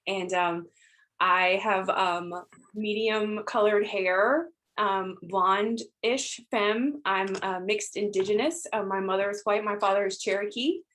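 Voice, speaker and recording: A woman's voice: mid-range voice, slow pace, fairly bright tone. A woman from the United States introducing herself to a round table in an informal, chatty way. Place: indoors on a group video call.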